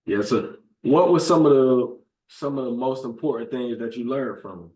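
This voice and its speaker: A man's voice: medium pitch, normal speed, darkish tone, low-quality recording. A man hosting a podcast interview over a video call. He affirms the guest often with short "yes, sir" replies. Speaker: podcast host. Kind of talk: interview chat.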